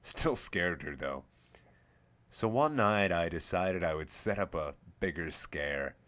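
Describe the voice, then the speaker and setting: A man with a low voice reads a scary story in the first person. He works from a script as the host and narrator of a horror reading series. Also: animated, takes it slowly, a bit bright.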